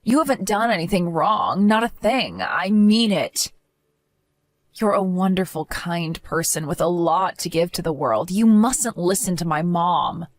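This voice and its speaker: A woman's voice: lowish voice, animated, measured pace, no echo. A woman voicing a protective young sister-in-law who speaks in an earnest, reassuring manner. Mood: caring.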